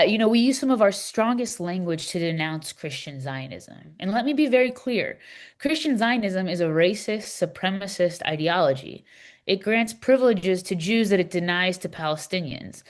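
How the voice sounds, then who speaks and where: Woman acting an earnest, low-pitched female Palestinian advocate. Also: natural voice. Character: impassioned Palestinian woman explaining a church document. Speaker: readers theater performer. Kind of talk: scripted readers theater dialogue.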